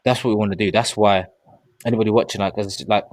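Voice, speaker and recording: A man's voice: fairly low pitch, steady tone, quick pace, no room sound. A man in his thirties co-hosting a casual discussion podcast, talking in an earnest, rambling way with promotional asides. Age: 30s. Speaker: podcast co-host.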